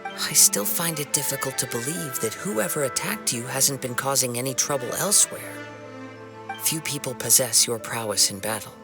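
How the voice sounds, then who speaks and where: An actor plays a young male wandering swordsman from a video game, humble and gentle. His speech is formal and measured, with some creak in the voice, over clear background music. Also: medium pitch, close to an everyday voice. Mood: concerned, calm. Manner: soft-spoken, formal, measured, earnest. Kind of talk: scripted video game dialogue.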